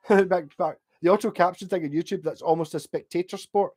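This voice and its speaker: A man's voice: on the high side, moderate pace, darkish tone, husky, faint music behind. Glaswegian male streamer, loose rambling chat, casual swearing, answers viewer comments. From Glasgow, Scotland. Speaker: livestream host.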